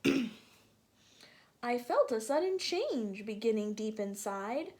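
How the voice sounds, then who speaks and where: Lively, unhurried woman reading to kids. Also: on the high side, slight background noise. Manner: gentle, asks children questions, drops to soft whispers. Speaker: teacher reading aloud. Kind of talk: picture-book read-aloud for children.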